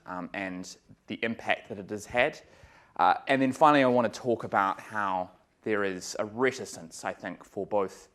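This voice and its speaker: A man with a New Zealand accent giving an academic law lecture in a relaxed way, with many ums and uhs and some dry humor. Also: lowish voice. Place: lecture room.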